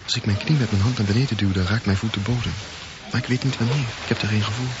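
Radio drama lead: dreamy, wondering teenage boy played by a man, unhurried musing delivery, muffled audio with clear noise. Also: fairly low pitch, fairly even intonation, natural voice. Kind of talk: radio drama acting, reflective monologue. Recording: dull, noisy old radio recording.

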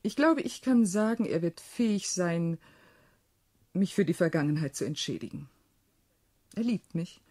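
An actress playing a shrewd, proud, self-possessed widowed sister in a German radio play, speaking deliberately and expressively. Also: female voice, fairly low pitch, lively intonation, dark tone. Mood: self-satisfied.

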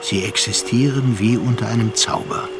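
An actor playing a reflective, worldly gentleman narrator in a literary radio drama, speaking measured standard German. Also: medium pitch, over loud music, close to an everyday voice. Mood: contemplative. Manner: measured, contemplative, deliberate phrasing.

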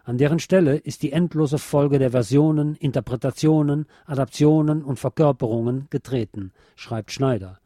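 A man presenting on the radio, reading a nonfiction book chapter aloud in a measured, bookish way. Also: medium pitch, warm tone, no room sound, clean studio sound.

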